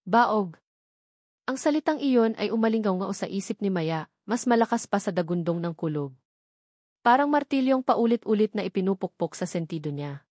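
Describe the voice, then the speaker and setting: A woman's voice: mid-range voice, expressive, brisk, a little mellow, no echo. Woman narrating a dramatic story, dry sound. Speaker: narrator of love stories.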